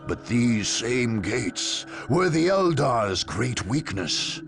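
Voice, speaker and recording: A man playing a grave, stern space marine commander in a video game, narrating solemnly and deliberately with a gravelly voice. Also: mid-range voice, very animated, over music, exaggerated voice. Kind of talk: dramatic scripted game narration.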